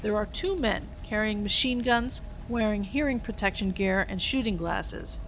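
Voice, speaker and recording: A woman's voice: medium pitch, moderate pace. A woman reading a scripted story aloud as its narrator. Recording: faint background noise.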